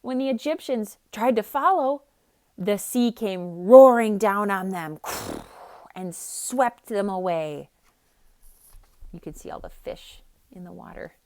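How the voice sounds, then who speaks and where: A woman storyteller reading a picture book aloud for children in an expressive, dramatic style. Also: medium pitch, slow. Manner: expressive, dramatic, with hushed emphasis. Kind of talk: theatrical read-aloud narration with casual asides.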